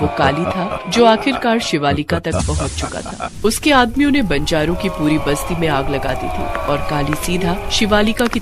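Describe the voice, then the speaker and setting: A woman's voice: sits low, background noise. A woman narrating a scripted story in a dramatic storytelling style. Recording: music bed behind the voice. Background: music.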